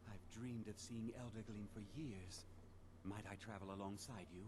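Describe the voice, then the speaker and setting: A man's voice: fairly low pitch, fairly even intonation, normal speed, lightly acted. An actor playing a devout, polite male pilgrim traveler, speaking earnestly and courteously. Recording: game audio with clear ambient noise.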